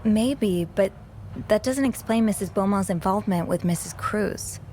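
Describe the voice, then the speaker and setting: An earnest young female sleuth, played by an actress in a narrative video game, talks at a middle pitch. She sounds curious but modest, thinking her way through sentences with slight hesitation. Faint noise sits behind the voice. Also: moderate pace, a little mellow, lightly acted. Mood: thoughtful, hesitant. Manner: hesitant, thoughtful, understated. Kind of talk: naturalistic video game acting.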